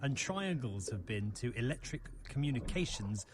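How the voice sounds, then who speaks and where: A man hosting a talk radio show, reading texts and arguing his point in a measured way. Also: medium pitch, very animated, background noise. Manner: measured, argumentative.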